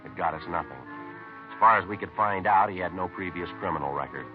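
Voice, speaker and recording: An actor playing a businesslike, methodical police detective in a 1950s radio crime drama, deadpan and matter-of-fact in clipped sentences. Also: male voice, low voice, fast talker, music in the background, lightly acted. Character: businesslike, methodical male police detective narrating his case. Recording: tinny narrow-band vintage radio recording.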